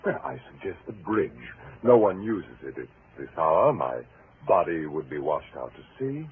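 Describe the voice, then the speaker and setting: An actor playing a bitter, obsessed, menacing husband in a theatrical, mock-polite style for a 1940s radio thriller. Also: male voice, low voice, sing-song, normal speed. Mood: cool, calculating. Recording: tinny, hissy 1940s radio recording.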